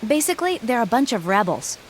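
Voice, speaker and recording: Lively, frank young adventurer. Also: female voice, a little high, a little fast, slight background noise. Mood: matter-of-fact. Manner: matter-of-fact. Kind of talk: video game voice acting.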